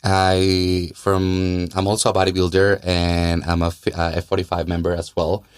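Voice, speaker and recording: A man's voice: low-pitched, completely flat delivery, normal speed, clear, resonant tone, no room sound, studio quality. A man from Colombia with a Colombian accent and native Spanish, explaining things earnestly as a guest in a conversational podcast interview, often repeating words for emphasis. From Colombia and South America. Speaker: interview guest, gym owner and fitness trainer.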